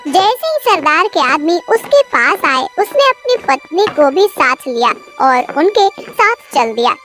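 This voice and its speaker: Very high-pitched, animated story narrator. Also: a little slow. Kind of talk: scripted children's story narration. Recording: children's music and jingles behind the voice.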